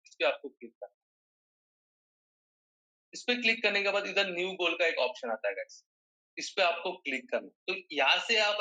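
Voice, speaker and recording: An Indian man's voice, very bright and forceful, a little high for a man, in a roomy, echoing space. He teaches like an online trainer, repeating key phrases. He keeps turning to his listeners to check that they understand. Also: dull audio. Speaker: online digital marketing trainer. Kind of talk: live online lesson, mixing Hindi and English.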